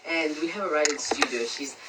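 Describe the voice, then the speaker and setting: A woman's voice: low voice, a little fast, far from the mic, very noisy. A woman with a South African accent hosting a casual interview in a chatty, familiar, slangy way, with other voices behind her. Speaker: radio interview host. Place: radio studio.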